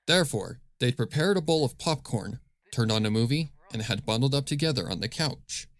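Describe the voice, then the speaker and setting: A man's voice: medium pitch, expressive, brisk, no room sound. A man reading scripted fan fiction as the narrator of a story.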